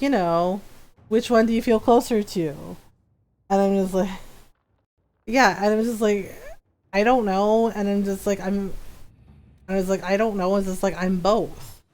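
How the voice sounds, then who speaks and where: Casual American woman streamer telling personal stories. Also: medium pitch. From United States. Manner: animated retelling with acted-out quoted dialogue. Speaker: live streamer.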